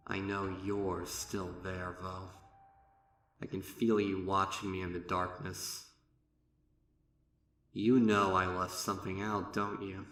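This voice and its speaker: A man voicing a young female pony student, haunted and guilt-ridden, recounting horror in a dramatic, deliberate way. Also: sits low, a bit bright, voice pushed down, heavily acted. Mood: hushed, secretive. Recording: echoey, distant, music behind.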